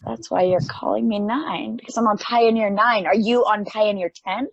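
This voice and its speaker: A woman playing a confused, anxious space probe, speaking haltingly in an amateur play reading. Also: mid-range voice, steady tone, vocal fry, dry recording. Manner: hesitant, halting. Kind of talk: amateur play table read. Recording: dry, dull lo-fi audio.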